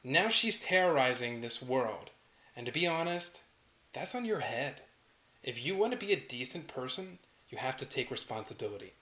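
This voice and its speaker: A man's voice: mid-range voice, very animated, moderate pace, sharp tone. Man acting an earnest young hero, roomy sound. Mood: earnest, insistent. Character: earnest, principled male human companion of a vampire. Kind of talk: scripted drama table read.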